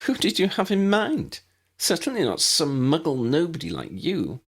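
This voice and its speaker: A man's voice: on the high side, wildly swooping pitch, normal speed, a bit bright, slightly rough, voice pushed up, full character voice. A man voicing an imperious, scornful young witch villain in a fantasy audiobook, snappish and sneering. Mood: smug, scornful. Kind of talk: fan-fiction audiobook character voice.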